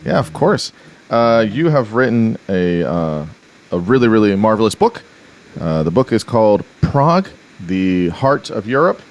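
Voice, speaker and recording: A man hosting a podcast, introducing the episode and his guest in a relaxed way with many fillers and restarts. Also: lowish voice, unhurried. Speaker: podcast host.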